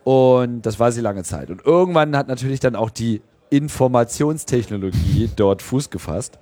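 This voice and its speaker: A man's voice: mid-range voice, expressive, moderate pace, dark tone, dry recording. A man from Germany chatting casually, telling digressive stories with an ironic streak. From Hannover, Germany. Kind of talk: casual, bantering chat.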